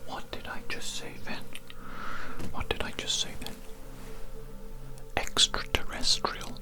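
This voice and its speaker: An ASMR performer voicing an oddly formal alien doctor posing as a human, in a breathy whisper with polite, soothing, repetitive patter. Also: slow, over music, natural voice. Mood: politely clinical. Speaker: ASMR performer playing an audiologist. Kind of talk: ASMR medical roleplay.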